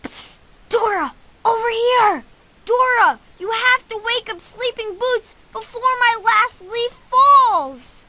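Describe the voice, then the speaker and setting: An actress playing a small, earnest magical helper in a children's fairy-tale cartoon game, speaking in a deliberate, instructive way. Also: female voice, extremely high pitch, animated, pushed and bright, slight background noise, exaggerated voice. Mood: urgent.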